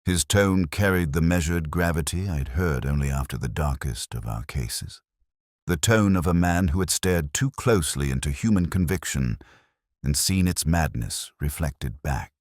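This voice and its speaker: A man giving a dramatic scripted first-person story narration in a measured, solemn, literary manner. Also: a bass voice, expressive, dry recording, studio quality.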